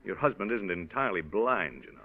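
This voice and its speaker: A man's voice: lowish voice, lively intonation, brisk. An actor playing a formal, condescending man handling a divorce case in a 1940s radio drama, pointed and insinuating. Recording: narrow-band vintage radio recording.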